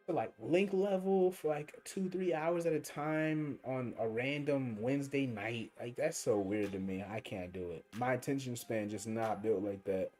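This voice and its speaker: Young male streamer, lively pitch, mellow tone, casual slangy rambling, faint music behind. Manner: rambling, slangy, thinking aloud. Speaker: gaming streamer. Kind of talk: casual livestream commentary over gameplay.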